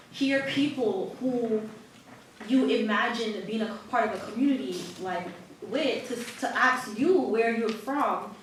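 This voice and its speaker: A woman on a discussion panel, talking in a thoughtful, halting, casual way. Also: a little high, a little hiss or hum. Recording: echoey hall from far off.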